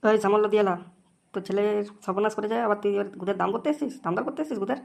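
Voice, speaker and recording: A woman's voice: mid-range voice, racing, gravelly, darkish tone, natural voice. A woman voicing a bullying, foul-mouthed young club gangster in an amateur comedy cartoon, slangy and threatening.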